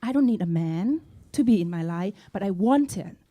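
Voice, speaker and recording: Woman, from Thailand, co-host, mellow, earnest, explaining. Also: medium pitch, lively intonation, moderate pace. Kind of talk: casual chat.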